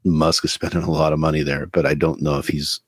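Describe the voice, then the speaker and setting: A man co-hosting a casual political podcast, giving his predictions in a measured, thoughtful way. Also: a bass voice, little pitch movement, no room sound, clean studio sound. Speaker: podcast co-host.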